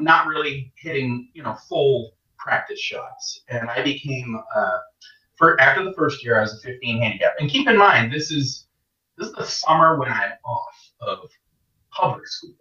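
Guest man from Canada, golf coach, chatty and laughing, bright voice in an echoey, distant room. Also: medium pitch, big pitch swings, normal speed. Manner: laughing often, rambling storytelling. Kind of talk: casual interview chat. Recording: distant, echoey, dull sound.

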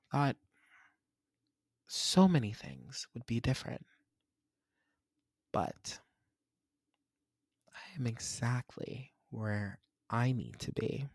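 A man's voice: medium pitch, gravelly, darkish tone, no echo, studio quality. A man, hosting a solo podcast and reflecting in a candid, rambling, self-searching way. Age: 20s. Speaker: podcast host.

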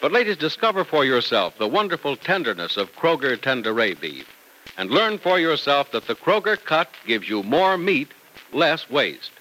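A man's voice: on the high side, very animated, normal speed, sharp tone, a little hiss or hum, rough recording. A man announcing in 1940s radio style, reading a script with formal, emphatic salesman delivery.

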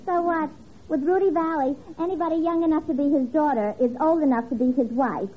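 A woman's voice: sits high, fairly quick, natural voice. An actress playing a brash, man-hungry New spinster, gushing and saucy, in a 1950s radio comedy. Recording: muffled noisy radio, studio audience.

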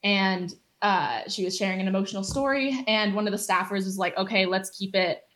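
A woman's voice: mid-range voice, fairly even intonation, a little fast, a bit bright. An eighteen-year-old woman hosts a podcast in a casual, earnest way. She fills her talk with "um" and "like" as she tells her story. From Los Angeles. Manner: earnest, many fillers like "um" and "like". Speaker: podcast host, youth organizer.

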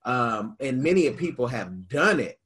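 A man's voice: mid-range voice, big pitch swings, normal speed, fairly bright tone, slightly rough. A man with an American accent hosting a casual interview, asking long, rambling questions in a conversational way. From United States. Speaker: interview host.

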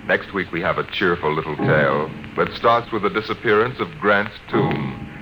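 A man hosting a 1950s radio drama, reading scripted narration in a dramatic, measured announcing manner. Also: on the low side. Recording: noisy old radio recording.

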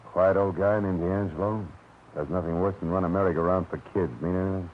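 A middle-aged actor playing a hard-boiled, wisecracking private detective in a 1940s radio drama, with a dry, sardonic delivery. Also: male voice, low-pitched, steady tone, a little fast, a little hiss or hum, lightly acted. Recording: tinny old radio broadcast with music.

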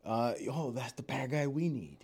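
A man, an actor, chatting as a podcast guest in a relaxed, rambling way. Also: medium pitch, moderate pace, slightly rough. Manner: laid-back, rambling, many fillers. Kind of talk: casual chat.

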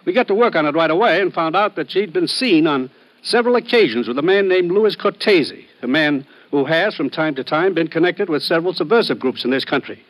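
A man playing an authoritative commissioner, crisp and official, in old radio drama style. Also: a little high, animated, fairly quick, lightly acted, rough recording. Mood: businesslike, urgent. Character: brisk, authoritative male commissioner briefing an agent. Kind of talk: 1950s radio drama acting.

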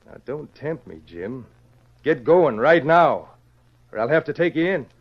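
A man's voice: on the high side, expressive, measured pace. An actor playing a suspicious, commanding American frontiersman in a radio western, terse and pointed in his delivery. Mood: stern command. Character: cool, suspicious, commanding frontier brother-in-law. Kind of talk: old-time radio western acting. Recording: dull old radio recording.

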